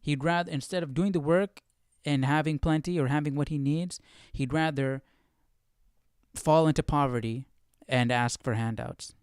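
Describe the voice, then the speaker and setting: A man's voice: a little high, moderate pace, clean tone, dry recording, clean studio sound. A man hosting a live study stream, thinking aloud in casual commentary and often repeating and rephrasing himself.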